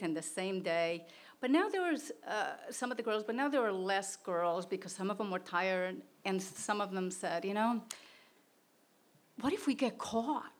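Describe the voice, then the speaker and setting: Woman telling a story. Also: medium pitch. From Portugal. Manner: conversational, plain, with fillers and restarts. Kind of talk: live personal storytelling.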